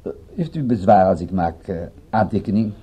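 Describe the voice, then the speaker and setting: An actor playing a courteous French police inspector in a radio drama, with a French accent and hesitant, formal, halting phrasing. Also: male voice, sits low, lively intonation, mellow, breathy edge, highs cut off, full character voice. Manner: hesitant, formal, searching for words.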